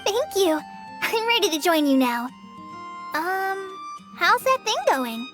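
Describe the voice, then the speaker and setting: A woman's voice: very high-pitched, big pitch swings, slow, full character voice. A woman voicing a shy, anxious, apologetic young girl in a video game, girlish and hesitant. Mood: shy, tentative. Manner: hesitant, timid. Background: faint music.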